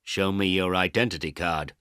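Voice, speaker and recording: A man's voice: deep voice, steady tone, normal speed, sharp tone, clean tone, no echo, clean studio sound. A man voicing a stern policeman in a story, curt and commanding. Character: stern, authoritative male policeman.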